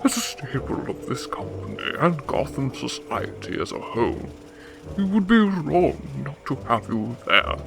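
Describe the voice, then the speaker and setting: A man acts out a genteel criminal mastermind in a radio drama. He is effusive and courtly, laying on formal flattery, with a creaky edge to his voice. Faint music plays behind him. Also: medium pitch, measured pace. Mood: smooth courtly flattery.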